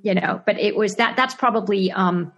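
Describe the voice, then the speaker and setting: A woman's voice: mid-range voice, moderate pace, a bit bright, dull audio. Hesitant Zimbabwean woman, laughing often. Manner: hesitant, many fillers and restarts, laughs often. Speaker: actress and screenwriter being interviewed. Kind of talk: casual interview answers.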